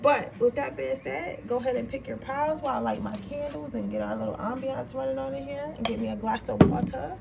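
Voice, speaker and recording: A woman in her twenties with a raspy voice, chatting casually and rambling informally as she gives a tarot reading. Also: sits high, a little fast, hardly any pauses, loud music behind the voice. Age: 20s. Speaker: tarot reader. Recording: noisy room, voice a bit far from mic.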